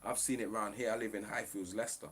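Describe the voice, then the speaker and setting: Husky man ranting. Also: on the low side, fairly even intonation, quick pace, a bit bright. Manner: profane, preachy, fired up. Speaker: live streamer. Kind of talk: ranting live monologue.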